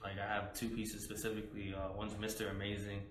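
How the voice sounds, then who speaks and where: A young man in a casual interview, talking about his poetry in a relaxed, rambling way with many fillers, his voice slightly hoarse. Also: lowish voice, quick pace, reverberant, a little hiss or hum. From United States. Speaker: spoken word poet guest.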